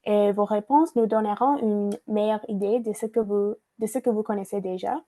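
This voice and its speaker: A woman leads a virtual workshop through her computer mic. Her tone is mellow and warm. She reads from notes, often hesitating and starting phrases over. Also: medium pitch, steady tone, normal speed. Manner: frequent hesitations and restarts, reading from notes. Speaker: workshop facilitator. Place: online video call.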